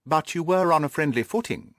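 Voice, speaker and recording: A man voicing a suave, composed detective who questions a client briskly and inquisitively in an audiobook. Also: fairly high pitch, animated, a bit bright, dry recording, studio quality, voice pushed up. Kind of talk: audiobook character dialogue.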